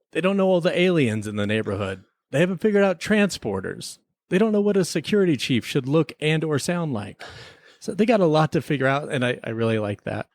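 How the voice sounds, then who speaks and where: A man's voice: fairly low pitch, no room sound. Casual man in a dry-sounding recording. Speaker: podcast co-host. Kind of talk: casual conversational TV episode review.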